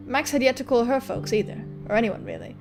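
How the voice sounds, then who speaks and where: A woman reading a visual novel aloud as expressive story narration for a gaming video. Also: a little high, quick pace. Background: music, guitar.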